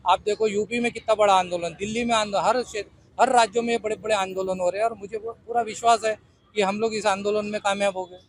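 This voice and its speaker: A man speaks in a high voice. He answers a reporter as an activist, in an interview style. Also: steady tone, fast, no room sound. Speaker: protest activist.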